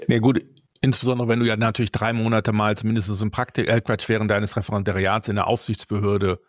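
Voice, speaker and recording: A man's voice: lowish voice, flat delivery, quick pace, no echo, studio quality. A man co-hosting a conversation, speaking bluntly and colloquially with some rambling. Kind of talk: casual conversation.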